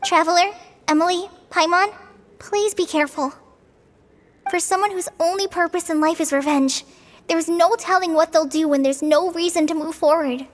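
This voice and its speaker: An actress voicing an earnest, excitable young girl companion in a fantasy video game, with emphatic delivery. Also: very high voice, moderate pace, long reverb tail, a little hiss or hum. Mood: worried. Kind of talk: scripted video game voice acting.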